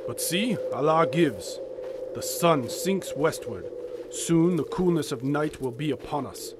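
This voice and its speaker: Devout Afghan warrior, high-pitched over noisy ambience. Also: male voice, lively intonation, measured pace. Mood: hopeful, calm. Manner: formal, archaic, florid phrasing. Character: devout, fierce Afghan fighter, loyal sidekick to an American adventurer. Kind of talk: audio drama acting.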